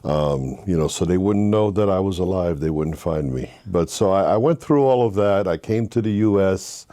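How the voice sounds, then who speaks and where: An older man from Haiti, a guest telling his life story with earnest faith, with halting restarts. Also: sits low, expressive, mellow, dry recording, studio quality. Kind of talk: interview, personal story.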